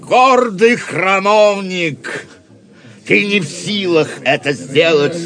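An actor playing a stern, triumphant royal authority in an audio drama, commanding and declamatory. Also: male voice, high voice, bright, forceful tone, full character voice.

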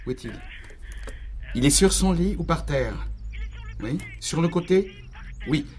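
An actor playing a male doctor handling an urgent phone call calmly and reassuringly in a radio drama. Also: a little high, unhurried, a little hiss or hum. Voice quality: calm, reassuring.

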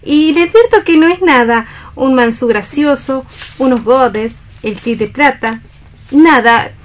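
A woman's voice: high-pitched, measured pace. An actress with an accent from Spain playing a coquettish, cheerful, gracious lady in a radio play reading, effusive and genteel. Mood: cheerful, blithe.

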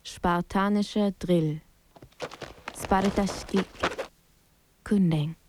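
A woman performing as a radio-drama announcer, giving chapter titles in a terse, deliberate way. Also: mid-range voice, fairly even intonation, close to an everyday voice. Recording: clearly noisy background.

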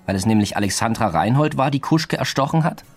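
An actor playing a sceptical police detective, blunt and probing with an air of command, in a radio drama. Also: male voice, mid-range voice, animated, quick pace, faint music behind, lightly acted. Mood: accusing, stern.

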